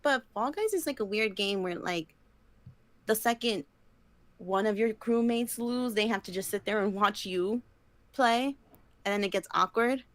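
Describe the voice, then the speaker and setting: A woman's voice: medium pitch, a little slow, a bit bright, no echo. A young woman co-hosting a casual podcast chat, rambling along with plenty of likes and ums and giggling often. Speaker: podcast co-host.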